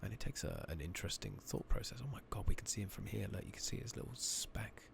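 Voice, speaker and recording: A British man giving soft-spoken, partly whispered ASMR commentary on a video game, muttering casually to himself on a close microphone. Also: lowish voice, wildly swooping pitch, gravelly. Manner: casual, unhurried, muttering to himself, mild swearing. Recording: noisy mic with keyboard clicks.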